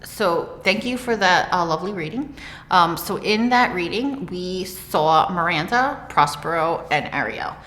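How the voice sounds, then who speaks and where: A woman's voice: on the low side, animated, measured pace, a bit bright. A woman presenting and explaining a play in an informal talk. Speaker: presenter leading discussion. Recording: echoey room.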